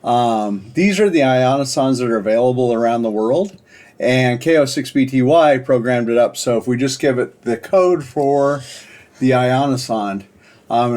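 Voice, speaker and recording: Male demo presenter, medium pitch, informal and explanatory, halting delivery for a screen-share walkthrough. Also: darkish tone. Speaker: presenter demonstrating a software project.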